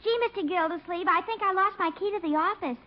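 An actress playing a meek, naive, eager-to-please secretary in a 1950s radio comedy, speaking deferentially. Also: female voice, extremely high pitch, quick pace. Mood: dimly obliging. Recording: muffled 1950 radio recording.

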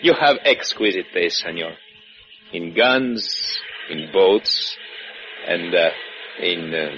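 An actor playing a suave, menacing revolutionary gunrunner with a Spanish accent, courtly and faintly amused in a 1950s radio adventure. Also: male voice, sits low, expressive, takes it slowly, bright, forceful tone, heavily acted. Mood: mocking politeness. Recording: dull 1950s radio recording, music behind.

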